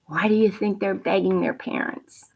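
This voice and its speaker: A woman reading a picture book aloud to children, pausing with teacherly questions and emphatic asides. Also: mid-range voice, steady tone, vocal fry, a little hiss or hum, poor audio. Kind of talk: read-aloud bedtime story with asides and questions to young viewers.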